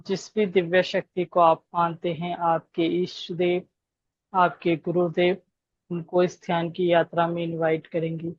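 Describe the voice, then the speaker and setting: A woman leading a guided meditation, giving gentle instructions with long pauses between phrases. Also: on the low side, little pitch movement, dry recording, muffled recording.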